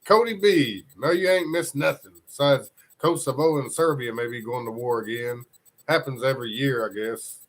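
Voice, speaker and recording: A man's voice: medium pitch, a bit bright, rough recording. A congested man hosting a casual live news stream, rambling loosely and greeting viewers from the chat. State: congested from a cold.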